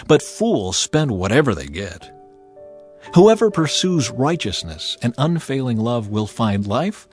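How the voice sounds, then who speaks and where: A man reading scripture as scripted dramatized narration, in a measured, emphatic and expressive way. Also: on the low side. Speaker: audiobook Bible narrator.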